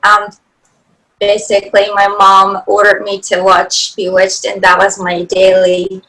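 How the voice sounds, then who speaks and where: A woman from Japan speaks with a Japanese accent in a bright, crisp tone. Her pitch stays very flat and even, and she introduces herself plainly, matter-of-fact. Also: measured pace, low-quality recording. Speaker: fan guest. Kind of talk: casual self-introduction.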